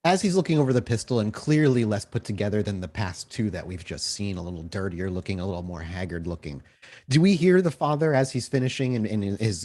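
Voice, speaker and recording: A man's voice: fairly low pitch, darkish tone. A man running a tabletop roleplaying game, narrating in wordy, cinematic detail and putting questions to players. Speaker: game master and storyteller.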